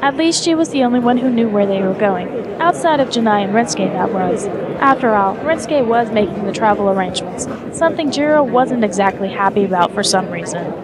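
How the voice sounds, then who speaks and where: A woman's voice: mid-range voice, lively intonation, brisk, over a noisy crowd. A woman reading scripted story narration as the narrator of an audio drama.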